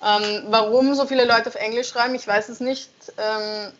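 A young woman talks through her songwriting in a relaxed interview, pausing and searching for the right words. Her voice sits a little high. The recording is dull and lo-fi. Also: slight background noise. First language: German. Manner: hesitant, searching for words, laughs often. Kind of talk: casual interview answers. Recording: dull lo-fi sound.

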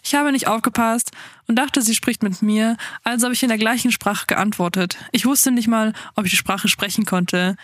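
A woman's voice: fairly high pitch, normal speed, no echo. Woman with Afrikaans as first language, dry close sound. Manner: giggly, relaxed, reads in a lively storytelling way. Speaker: podcast co-host. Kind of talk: casual chat, reading a story aloud.

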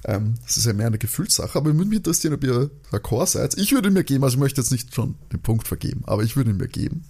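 A man's voice: medium pitch, animated, rapid-fire, few pauses, dark tone, husky. A man with an Austrian accent co-hosting a podcast in casual, joking and argumentative banter. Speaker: podcast co-host.

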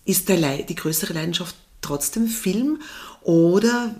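A woman interviewing a guest casually, attentive and quick to affirm. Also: lowish voice, expressive, dark tone. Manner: attentive, many affirming murmurs.

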